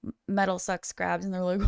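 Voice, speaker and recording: A woman's voice: mid-range voice, vocal fry, darkish tone, clean tone, no echo, studio quality. A woman in her thirties, a rock singer, telling stories in casual livestream chat and laughing often. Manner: laughs often, chatty storyteller. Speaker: rock singer and stream co-host.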